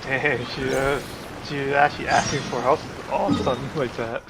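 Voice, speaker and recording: A game livestreamer giving casual, amused, halting live reactions to a story. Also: medium pitch, level tone, very noisy. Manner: amused, halting, mildly sweary. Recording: loud game music behind.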